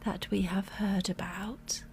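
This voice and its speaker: A woman performing ASMR as a gentle, reassuring flight attendant, speaking softly with breathy whispers. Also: mid-range voice, little pitch movement, slow, slight background noise. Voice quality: soft, breathy whispering and hushed speech. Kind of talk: ASMR roleplay, personal attention.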